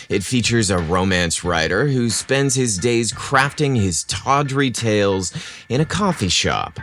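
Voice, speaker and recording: A man hosting a podcast and narrating scripted romantic stories in a theatrical, expressive manner. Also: mid-range voice. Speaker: podcast host and story narrator.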